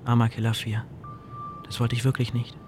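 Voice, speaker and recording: An actor in a German radio drama playing an excitable, guilt-ridden fire-setter. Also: male voice, medium pitch, moderate pace, music in the background, lightly acted.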